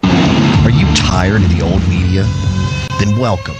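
A man's voice: sits low, unhurried. A man reading scripted radio commercials in a punchy, emphatic voice-over. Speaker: ad announcer. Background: loud music.

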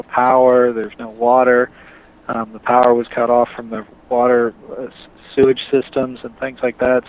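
A man calling in to a radio show over a Skype call, speaking haltingly as he searches for words. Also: medium pitch. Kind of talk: casual phone-in talk. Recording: muffled Skype call line.

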